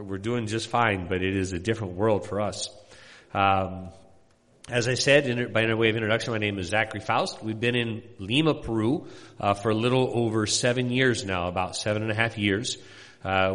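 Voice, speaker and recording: A man's voice: lowish voice, a bit bright. Middle-aged man speaking in a reverberant hall. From Indiana, USA. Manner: folksy, rambling, many "uh" pauses. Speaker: missionary pastor. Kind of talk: sermon introduction to a congregation.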